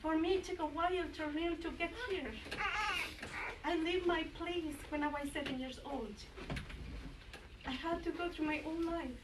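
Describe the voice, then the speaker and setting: A woman, English her third language, giving halting, emotional testimony at a community forum. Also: very high-pitched, steady tone. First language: Nahuatl. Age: 40s. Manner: halting, searching for words. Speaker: forum speaker. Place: community center meeting room. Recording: echoey room, far mic, noisy.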